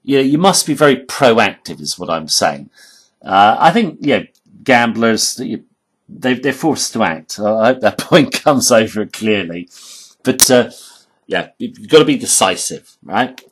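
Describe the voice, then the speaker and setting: A hoarse man giving an informal tutorial voice-over, halting and emphatic, often checking in with "okay?". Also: mid-range voice, expressive. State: hoarse, losing his voice. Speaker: trading educator.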